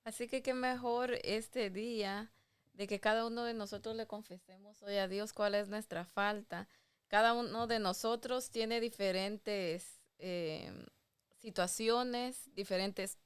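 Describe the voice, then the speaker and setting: Lady doing a devotional, earnest, super clean clear voice. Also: medium pitch, steady tone, takes it slowly. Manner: earnest, inviting the listener to pray. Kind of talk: devotional Bible talk.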